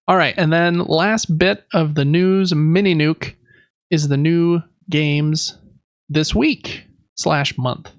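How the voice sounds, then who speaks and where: A man's voice: fairly high pitch, gravelly, dry recording, dull audio. A man hosting a podcast, chatting informally and with enthusiasm about games. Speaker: podcast host. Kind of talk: casual gaming podcast chat.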